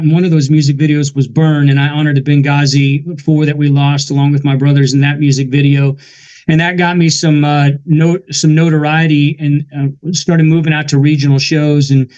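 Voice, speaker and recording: A man in his forties, a veteran and musician, telling his story in an earnest, steady way during a casual interview. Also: on the high side, muffled recording. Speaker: guest musician and army veteran.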